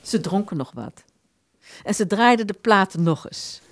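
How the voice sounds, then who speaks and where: A woman reading literary narration for a radio play in an expressive storytelling manner. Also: mid-range voice, moderate pace, dry recording.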